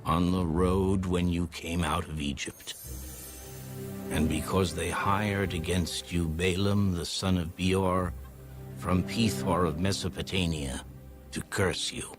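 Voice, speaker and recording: Deep male voice, slow solemn scripture reading, music behind. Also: steady tone. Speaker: audio Bible narrator.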